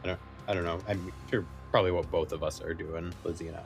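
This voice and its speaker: A man's voice: low voice, steady tone, fast, vocal fry, soft background music. A man speaking casually as a player in a tabletop roleplaying game.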